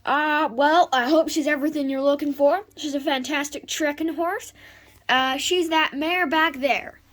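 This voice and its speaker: Woman playing a country horse seller, slow drawl, blunt, lecturing, high-pitched. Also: fairly even intonation, fairly bright tone.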